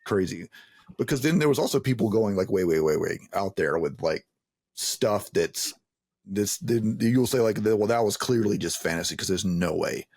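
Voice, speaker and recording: A man's voice: fairly low pitch, expressive, normal speed, a little mellow, breathy edge, no room sound, studio quality. A man hosting a casual interview, rambling and repeating words as he works out a thought. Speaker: interview host.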